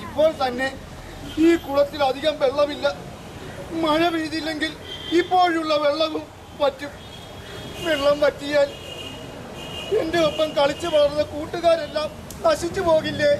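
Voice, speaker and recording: A man voicing a scheming old crane who pretends to mourn and fast, speaking slowly and piously at an outdoor street puppet show. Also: very high voice, flat delivery. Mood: mournful. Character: scheming old male crane feigning grief. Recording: outdoor street show with loud crowd and traffic.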